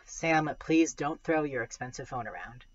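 A man's voice: mid-range voice, moderate pace, dull audio, close to an everyday voice. A man voicing a tense, weary mother from a storybook, caring but short on patience. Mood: strained. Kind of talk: storybook read aloud.

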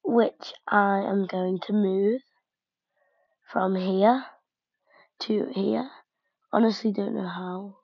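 A woman's voice: medium pitch, fairly even intonation, slow pace, gravelly, long pauses, no echo. A woman casually narrating while she decorates a game house, thinking aloud with many fillers and hesitations. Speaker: gaming vlogger. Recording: dull lo-fi home mic.

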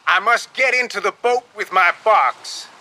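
A man's voice: sits high, measured pace, pushed and bright, pitched above the performer's own voice, full character voice. A man voicing a desperate, frightened, half-mad passenger in an audiobook, insistent and frantic. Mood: desperate, stubborn. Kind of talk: audiobook character dialogue.

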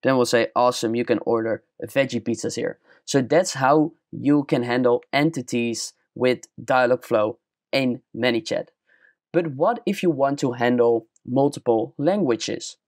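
A young man with a Dutch accent walking through a screen-recorded software tutorial step by step, in a plain explaining manner. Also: medium pitch, a little slow, no room sound, studio quality. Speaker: tutorial presenter.

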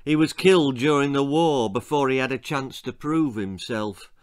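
An English man voicing a wise, wistful old man in a storybook, measured and earnest in his encouragement. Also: medium pitch, smooth, no room sound, studio quality, close to an everyday voice.

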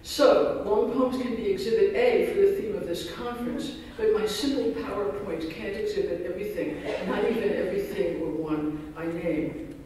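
Male keynote lecturer reading a scholarly paper, high-pitched, distant mic in a reverberant hall. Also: normal speed, darkish tone, a little hiss or hum. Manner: dense scholarly phrasing, self-deprecating asides. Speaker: keynote speaker. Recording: echoey hall recorded from far off.

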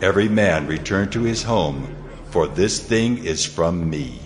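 An actor playing a solemn man of God who delivers a divine command with measured authority in an audio Bible drama. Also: low-pitched, expressive, crowd noise. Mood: grave, commanding.

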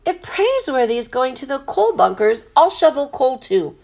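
A woman's voice: high-pitched, very animated, moderate pace, creaky, darkish tone, pitched above the performer's own voice, exaggerated voice. A woman voicing a loyal, plucky young boy stowaway in a storybook, polite and determined. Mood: earnest, nervous.

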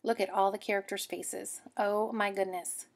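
A woman, a teacher giving an expressive, explanatory read-aloud lesson for children. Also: medium pitch, moderate pace.

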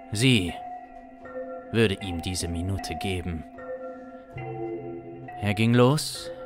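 Male narrator, thirties, for suspense audio drama: very low, unhurried, dramatic, light music underneath. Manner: deliberate, dramatic. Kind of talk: improvised suspense story narration. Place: small indoor streaming studio. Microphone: close studio microphone on boom arm.

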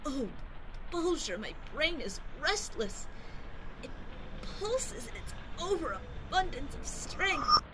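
A woman voicing a boastful, pompous boy adventurer in an old-fashioned radio drama, with archaic, grandiloquent speech that swings from loud to hushed. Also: high voice, slow pace, exaggerated voice. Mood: restless. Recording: steady background noise.

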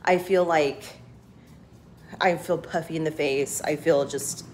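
A woman's voice: sits low, steady tone, unhurried, darkish tone, a little hiss or hum. Congested woman vlogging in echoey room. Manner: chatty, rambling, many fillers. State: congested head cold. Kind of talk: casual chat to camera. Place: emptied home office, echoey.

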